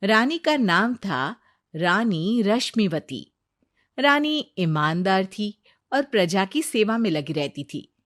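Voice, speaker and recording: A woman's voice: medium pitch, sing-song, slow, fairly bright tone. A woman narrating a scripted moral story.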